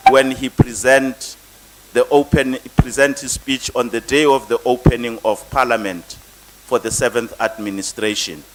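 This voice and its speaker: A man hosting a formal gala dinner as master of ceremonies, speaking haltingly with pauses and fillers. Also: medium pitch, a bit bright, rough recording. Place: banquet hall at a gala dinner.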